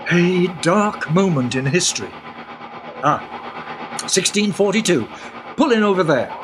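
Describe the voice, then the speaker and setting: A man's voice: high voice, lively intonation, slow. A man playing a wry, knowing guide who explains history in a radio play. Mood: explaining. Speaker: time-travelling guide.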